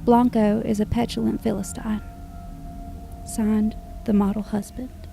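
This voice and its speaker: Higher female voice, dark, slow, heavy vocal fry, cold taunting killer, deliberate, audio drama. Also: faint music behind. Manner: measured, deliberate, monotone, menacing. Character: cold, taunting, scripture-quoting serial killer. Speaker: voice actor. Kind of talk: crime audio drama monologue.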